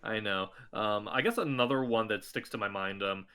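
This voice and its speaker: A man chatting as a podcast guest, his voice bright and pushed forward. He tells stories eagerly and leaps up in pitch when he acts out what people said. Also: moderate pace, smooth. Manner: chatty, excitable, acts out quotes in a higher voice. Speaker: voice actor guest. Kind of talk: casual podcast chat.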